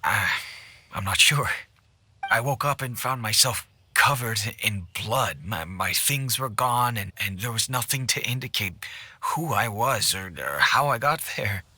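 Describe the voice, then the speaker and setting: A man playing a gentle, halting father in a video game cutscene, repeating words as he goes, with noise behind him. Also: on the low side, animated, husky, full character voice. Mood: halting, sorrowful.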